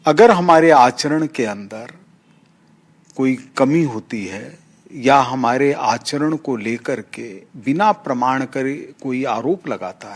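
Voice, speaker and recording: Slow, deliberate man making a formal statement. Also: mid-range voice. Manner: deliberate, emphatic pauses, formal vocabulary. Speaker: politician. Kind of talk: measured formal political statement.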